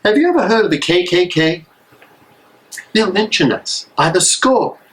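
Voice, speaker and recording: A man acting an earnest, weary American minister of the 1930s in a live dramatic reading, speaking emphatically and deliberately. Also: high voice, expressive. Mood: aggrieved, earnest. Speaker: actor in a dramatic play reading.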